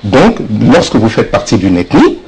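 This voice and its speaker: Politician guest, man, 50s, earnest and halting; husky, low, animated delivery. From Congo. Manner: earnest, hesitant with frequent fillers. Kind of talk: TV interview.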